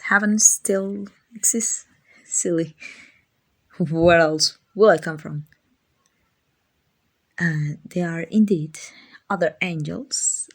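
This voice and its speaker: A woman voicing a gentle, doting, slightly clumsy guardian angel in a soft-spoken ASMR comfort roleplay, affectionate, hesitant and apologetic. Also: on the low side, husky, exaggerated voice. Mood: reassuring, tender.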